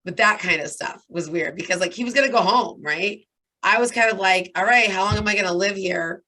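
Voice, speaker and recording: A hoarse woman in her thirties with a raspy voice, chatting as a guest and telling a long story with lots of "like" and "right?". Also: medium pitch, fairly quick, sharp tone, poor audio. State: hoarse from talking nonstop. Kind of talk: casual interview chat.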